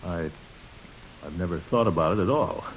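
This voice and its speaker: An actor playing a pompous, jovial, sentimental middle-aged uncle in a 1940s radio comedy, with a theatrical delivery. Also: male voice, low voice, normal speed, slightly rough. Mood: stunned, hesitant. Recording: noisy old-time radio recording.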